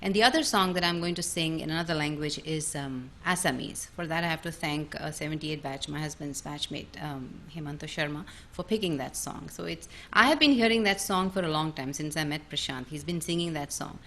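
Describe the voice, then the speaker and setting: A middle-aged woman singer from India talking on a video call, thoughtful and pausing with many ums. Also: deep voice, a bit bright, a little hiss or hum.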